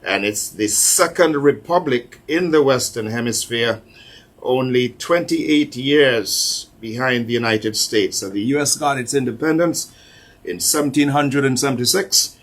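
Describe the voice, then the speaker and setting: A man hosts a radio talk show, speaking at a measured pace and stressing his points by repeating them. His voice sits at a medium pitch. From Anguilla.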